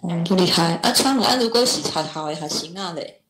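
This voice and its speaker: A woman's voice: lowish voice, animated, a little fast, a crowd in the background. A woman with a Taiwanese accent politely and casually asking questions as a class participant. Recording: echoey online class audio.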